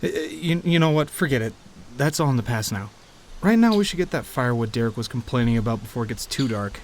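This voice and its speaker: A man playing a teasing, warm-hearted young friend in an audio roleplay, conversational and given to playful grumbling. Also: medium pitch, expressive, fast, slight background noise, lightly acted. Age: young adult. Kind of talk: audio roleplay acting, addressing the listener.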